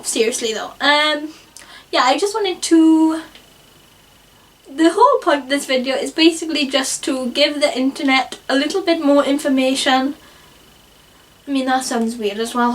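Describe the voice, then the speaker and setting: A woman's voice: sits high, a little slow, pauses often, far from the mic. A woman in her twenties, talking casually to camera in a rambling, self-conscious way, often breaking into giggles. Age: 20s. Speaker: vlogger. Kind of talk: unscripted vlog, casual talk to camera.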